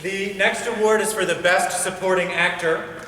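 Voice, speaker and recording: A man's voice: high-pitched, fairly even intonation, moderate pace, a loud crowd. A man presenting awards in a ceremony announcing style. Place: large hall with audience. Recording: distant, echoey, very noisy crowded hall.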